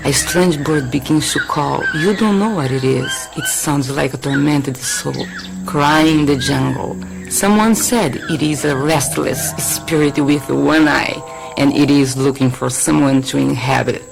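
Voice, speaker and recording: Creaky, mysterious Brazilian dream woman. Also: low voice, music in the background, rough recording. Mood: cryptic, dreamy. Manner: slow, cryptic, entreating. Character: mysterious dream woman, haunting shapeshifter, hypnotic and insistent. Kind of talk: radio play acting.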